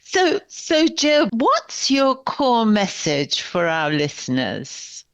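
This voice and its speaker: A woman's voice: a little high, wildly swooping pitch, takes it slowly, a bit bright, no echo. An older woman hosting a podcast interview, affirming her guest and asking questions, on a slightly dull, dry recording. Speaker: podcast host, psychotherapist coach.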